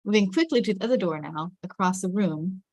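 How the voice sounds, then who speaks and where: A woman's voice: mid-range voice, animated, a little fast, darkish tone, dry recording. A woman reading stage directions as the narrator of a scripted drama table read.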